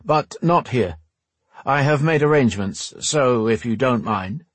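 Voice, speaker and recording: A man plays a suave male rogue in an audiobook, all polished courtesy and amiable ease. His speech is formal and theatrically polite, recorded dry and close, with a slightly husky edge. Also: mid-range voice, animated, measured pace, no echo, pitched above the performer's own voice. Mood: conciliatory. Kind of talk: audiobook character dialogue.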